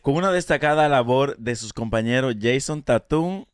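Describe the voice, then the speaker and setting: A man's voice: medium pitch, animated, moderate pace, clear, resonant tone, dry recording. A Dominican man in his thirties, a radio co-host reading sports news as a comic bit, tripping over foreign names and laughing through his lines in a studio. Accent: Dominican Spanish. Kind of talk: mock newscast, stumbling comic reading. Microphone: studio mic on boom arm, headphones.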